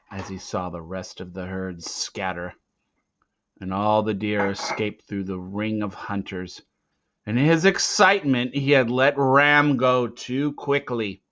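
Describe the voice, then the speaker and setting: A man reading a storybook aloud as narrator, with dramatic, suspenseful swells and hushed drops. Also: on the low side. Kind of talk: expressive storybook read-aloud. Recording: dull lo-fi, roomy echo.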